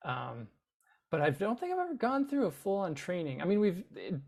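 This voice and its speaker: Gravelly, self-deprecating man, highish voice. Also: huge pitch swings, moderate pace, a little mellow. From Boston area, USA. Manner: self-deprecating, hesitant, dry humor. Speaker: guest actor. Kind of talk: casual chat.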